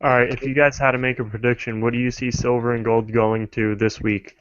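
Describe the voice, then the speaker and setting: A man's voice: medium pitch, flat delivery, fairly quick, fairly bright tone. A man on a casual video call, putting short questions to the group. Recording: dry lo-fi online call audio.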